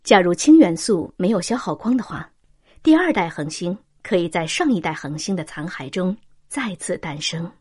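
A woman's voice: on the low side, lively intonation, moderate pace, a little mellow, breathy edge. A woman voicing an all-knowing supercomputer that gives factual answers in a science-fiction radio drama. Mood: impassive.

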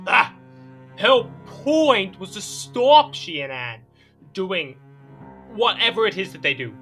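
A man's voice: high-pitched, pushed and bright, exaggerated voice. An actor playing a petulant, imperious young king in an audio drama, whiny and theatrical. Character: petulant, excitable young French king, easily outraged.

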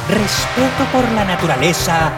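A radio serial announcer reading a scripted show introduction in a dramatic, deliberate way. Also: mid-range voice, animated. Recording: loud music behind, slightly distant.

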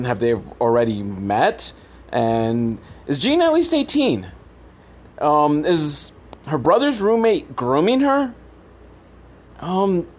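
Animated, sarcastic man ranting comedically. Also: fairly high pitch. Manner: sarcastic, profane. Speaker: podcast host. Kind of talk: comedic film recap commentary, casual rant.

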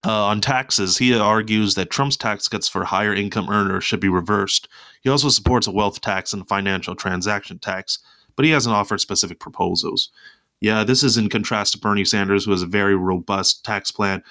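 Podcast host, male, stuffed-up nasal voice, lowish pitch, halting delivery with restarts, solo commentary. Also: bright, forceful tone. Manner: hesitant, many restarts and fillers, reading article excerpts aloud. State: congested, nasal voice from a cold.

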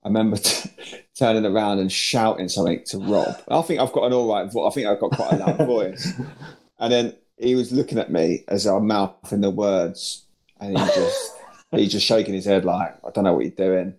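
A man's voice: on the low side, huge pitch swings, moderate pace, darkish tone. Rambling man recalling playing days. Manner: rambling, many fillers. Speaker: former player. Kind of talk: casual chat.

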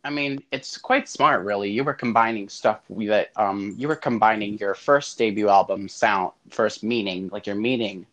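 A man hosting a casual role-played interview, talking in halting bursts with frequent restarts. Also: lowish voice, fairly bright tone.

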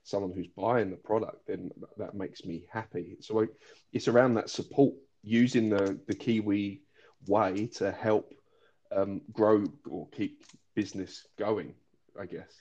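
A man with an English accent hosts a podcast, chatting with his guest in an affable way and dropping in jokey asides. His voice sits low, and the audio is dull and lo-fi. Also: fairly even intonation, a little slow, a little mellow. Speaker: podcast host. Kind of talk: casual interview chat.

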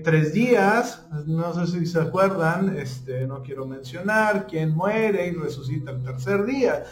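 Man, high voice, slow and halting, echoey room, faint music behind, teacherly check-ins. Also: a little mellow. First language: not Spanish (joking). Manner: checks in with listeners using ¿ok? and ¿sí?; halting, many fillers, stumbles over names. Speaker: spiritual teacher. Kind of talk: informal talk on symbolism.

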